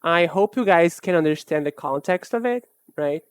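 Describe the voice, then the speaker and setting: A young woman streamer chatting casually while she draws, rambling and self-mocking in a soft, low-key way. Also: expressive, moderate pace, clear, resonant tone, no room sound. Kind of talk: casual chat while drawing live.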